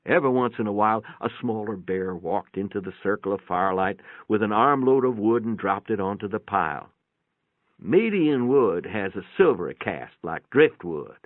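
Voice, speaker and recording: A man giving a dramatic first-person reading of a short story in a measured, reflective way. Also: lowish voice, big pitch swings, no echo. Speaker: story reader. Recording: thin band-limited phone-like sound, dry.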